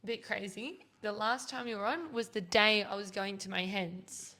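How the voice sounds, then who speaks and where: A woman's voice: mid-range voice, normal speed, pushed and bright. A young Australian woman hosting a casual podcast, chatty and giggly, often correcting herself, her voice a little worn. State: slightly losing her voice. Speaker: podcast host and gym founder. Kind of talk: casual chat.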